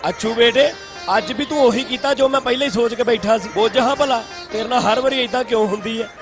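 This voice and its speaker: A man's voice: very high voice, fast. A man voicing a bossy, mocking king in a comic cartoon dub, with a Punjabi accent. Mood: fond, teasing. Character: blustering, mocking king, fond of threats and insults. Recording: music behind the voice. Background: music.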